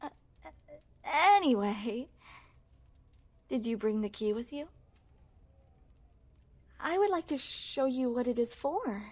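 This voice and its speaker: A high, swooping voice for a yandere princess, acted by a woman in an ASMR roleplay. She speaks slowly in regal, formal phrases, cooing sweetly at her beloved. Underneath the coyness she is possessive and menacing. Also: animated. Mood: coy, teasing. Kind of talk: ASMR roleplay, scripted monologue to the listener.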